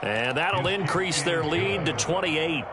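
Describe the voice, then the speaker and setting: A man playing an American play-by-play announcer in a football video game, calling the action in crisp, projected broadcast style. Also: on the high side, slow. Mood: focused. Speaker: play-by-play sports commentator. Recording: loud music and stadium noise behind. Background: music, stadium ambience.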